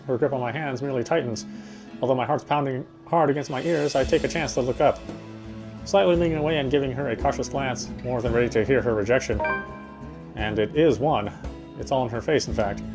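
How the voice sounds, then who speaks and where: Young male romantic lead actor, visual novel: introspective, tender, self-deprecating, brisk delivery over guitar music. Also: mid-range voice, close to an everyday voice, poor audio. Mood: hesitant, resigned. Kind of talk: first-person visual novel narration and dialogue.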